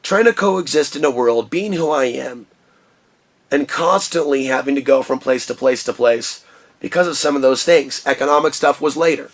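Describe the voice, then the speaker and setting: A man with a booming voice talking through a personal vlog monologue in an impassioned, ranting way from his shed studio. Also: moderate pace. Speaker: vlogger.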